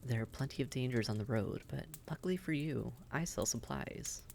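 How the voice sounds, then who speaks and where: A friendly vampire innkeeper acted by a man talks straight to the listener like a seasoned guide in an audio roleplay. His voice is on the high side for a man in neither pitch nor tone, sitting at an ordinary middle pitch with plenty of gravelly creak. He is chatty and reassuring, with faint noise behind him. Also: moderate pace, darkish tone, close to an everyday voice. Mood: earnest, cautioning. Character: friendly, worldly old-hand vampire innkeeper, reassuring male. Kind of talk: roleplay audio drama, speaking directly to listener.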